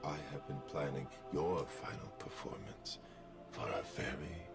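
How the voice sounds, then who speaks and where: A man voicing a grandiose, theatrical serial killer who speaks slowly and poetically in a deep, whispery voice, as video game voice lines. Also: steady tone. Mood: menacing, grandiose. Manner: slow, poetic, dramatic delivery. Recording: music playing behind the voice.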